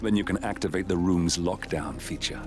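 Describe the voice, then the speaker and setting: A deep male voice with clear music behind it. A man plays a coolly purposeful mission handler in a video game, giving instructions to an assassin. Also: normal speed, lightly acted. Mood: calm, instructive. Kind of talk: video game cutscene acting.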